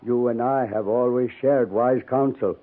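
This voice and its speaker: A man's voice: medium pitch, fairly even intonation, lightly acted. Tinny old-radio dying old chief, played by a man. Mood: regretful. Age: older. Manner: solemn, measured, formal poetic phrasing. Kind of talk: 1950s radio drama acting. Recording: narrow tinny 1950s radio recording.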